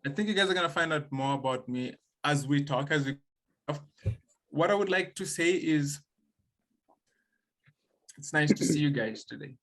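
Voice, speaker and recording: Hesitant young man, soft-spoken. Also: fairly high pitch, normal speed, long pauses, rough recording. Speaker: podcast co-host. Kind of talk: casual chat.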